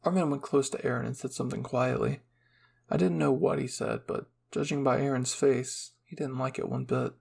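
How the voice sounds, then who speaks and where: A man's voice: medium pitch, fairly even intonation, moderate pace, creaky, a little mellow, slightly rough. A man reading scripted first-person narration as a storyteller.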